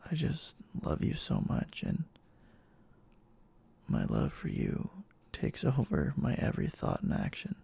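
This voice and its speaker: A man playing a clingy, obsessive, lovestruck boyfriend in an intimate ASMR roleplay, speaking in a halting, tender way with a breathy voice that often drops near a whisper. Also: medium pitch, huge pitch swings, warm tone, heavily acted. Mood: anxious, apologetic, pleading. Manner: halting, pleading, tender endearments.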